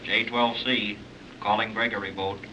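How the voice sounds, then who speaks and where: A man's voice: on the low side, moderate pace. A man playing a terse radio operator in a 1930s serial, sending clipped messages over shortwave. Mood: businesslike, urgent. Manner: clipped, monotone message delivery. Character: terse, businesslike male radio operator calling a boat. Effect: shortwave radio transmission. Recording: harsh, distant, hissy radio sound.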